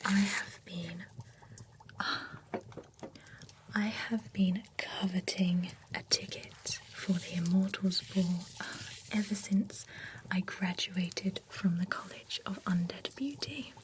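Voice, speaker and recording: A woman's voice: medium pitch, slow, natural voice, low-quality recording. A woman whispering as a nosy undead-spa beautician. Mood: envious. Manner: soft unvoiced whisper throughout; chatty and nosy. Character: gossipy, envious female beautician at an undead spa. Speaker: ASMR roleplay creator. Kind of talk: whispered ASMR roleplay. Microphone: close ASMR microphone.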